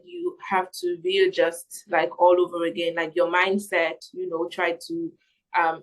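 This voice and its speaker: A young woman speaking as a missionary being interviewed, giving reflective answers in an earnest, halting way as she thinks aloud. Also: fairly low pitch, rough recording. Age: young adult.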